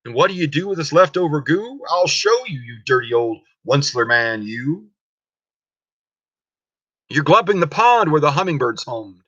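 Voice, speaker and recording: A man's voice: a little high, lively intonation, normal speed, sharp tone, voice pushed up. A man voicing an indignant, scolding old male forest creature in a storybook reading. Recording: dull lo-fi close recording.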